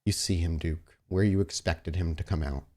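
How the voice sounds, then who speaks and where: A man's voice: low-pitched, moderate pace, a little mellow. A man acting as storyteller for a tabletop roleplaying game, narrating in a descriptive, cinematic way. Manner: descriptive, cinematic scene-setting.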